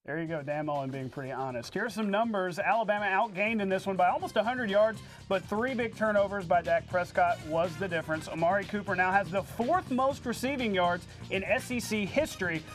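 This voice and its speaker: High-voiced American man anchoring sports. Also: brisk, hardly any pauses, faint music behind. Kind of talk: scripted TV sports highlights commentary. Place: TV studio.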